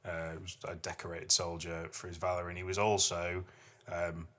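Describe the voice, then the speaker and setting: A man's voice: low-pitched, completely flat delivery, normal speed, fairly bright tone. A man co-hosting a podcast, discussing poetry casually in an explanatory and playful way. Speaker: podcast co-host.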